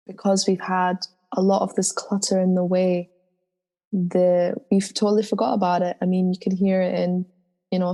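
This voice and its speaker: Woman, deep soulful voice, low-pitched, mellow tone, earnest, reflective interview talk. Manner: earnest, spiritual. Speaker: guest singer.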